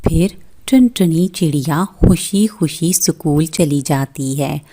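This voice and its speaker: Woman narrator reading a kids story, deep for a woman, really unhurried. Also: dark tone. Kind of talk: scripted children's story narration.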